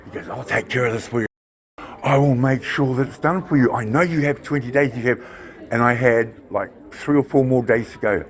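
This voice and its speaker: A New man arguing his case loudly and insistently with police in a government office. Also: mid-range voice. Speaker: member of the public confronting police. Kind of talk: heated argument. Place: government office lobby, indoors.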